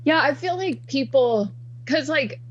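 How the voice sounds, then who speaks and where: A woman's voice: high voice, lively intonation, a little slow, highs cut off. A woman around thirty, a podcast guest, chatting in an upbeat, laugh-prone way. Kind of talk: casual interview chat.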